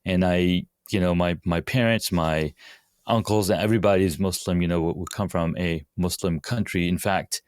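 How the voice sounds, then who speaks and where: A man from Pakistan, a podcast guest, answering questions in a measured, earnest way. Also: deep voice, fairly even intonation, darkish tone, no room sound, studio quality. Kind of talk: casual interview answers.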